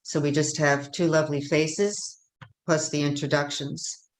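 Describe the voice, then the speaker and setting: A woman's voice: low-pitched, steady tone, a little slow. A woman moderating a candidate forum over a video call, chatty and loose, with many fillers and self-corrections.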